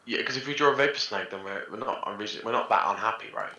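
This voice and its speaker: Deep-voiced wry man in an echoey room. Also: fast, bright, forceful tone, muffled recording. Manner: wry, jokey, thinks aloud. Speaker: guest co-player. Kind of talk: casual gameplay chat.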